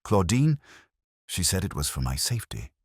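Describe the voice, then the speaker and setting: Man voicing a weakened, deep-voiced imperious viscount. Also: sing-song, moderate pace, dry recording, studio quality, heavily acted. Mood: weary, fearful. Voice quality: croaky, faint.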